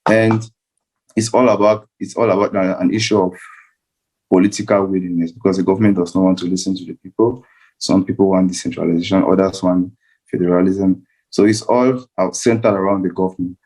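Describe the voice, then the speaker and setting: Low, mellow, even-toned man. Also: fairly quick, poor audio. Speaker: panel speaker. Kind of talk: earnest explanatory talk.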